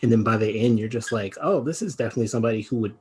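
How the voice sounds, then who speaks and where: A man's voice: lowish voice, racing, darkish tone, slight background noise. A man on a podcast panel, talking through his thoughts in a winding, reflective way and laughing easily. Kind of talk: casual discussion.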